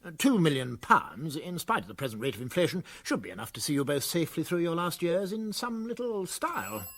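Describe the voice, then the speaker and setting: A man playing a well-spoken elderly English prison governor in a comic radio play, polite, understated and gently pompous. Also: a little high, sing-song, a little fast, heavily acted. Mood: self-satisfied.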